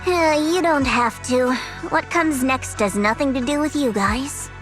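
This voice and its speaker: A woman's voice: sits high, unhurried, soft background music. A woman playing a proud, easily embarrassed young woman in an anime-style game, curt and guarded. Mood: reserved.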